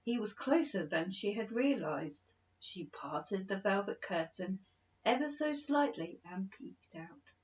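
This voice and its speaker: A woman reading a children's story aloud as an expressive bedtime storyteller. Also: mid-range voice, highs cut off.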